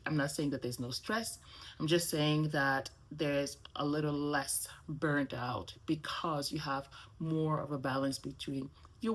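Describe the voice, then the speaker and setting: A middle-aged woman, a native French speaker talking in English, chatting casually to camera as a vlogger and often checking in with "okay?" and "right?". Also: sits low.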